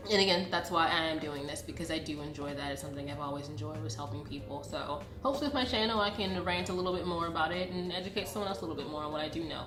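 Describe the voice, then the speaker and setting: A woman in her late twenties talks quickly in a slightly low, congested voice, as if to friends on her channel. She is chatty and informal, giving a casual update. The room has a noticeable echo, and music plays behind her. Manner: chatty, informal, addresses viewers familiarly. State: congested from a cold. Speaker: YouTube vlogger. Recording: roomy echo, background music.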